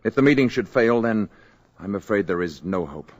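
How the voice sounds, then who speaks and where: An actor playing a calm, grave alien visitor in human guise, measured and solemn, in a 1950s radio drama. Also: male voice, fairly low pitch, close to an everyday voice. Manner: measured, solemn, urgent at times. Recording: old radio broadcast recording.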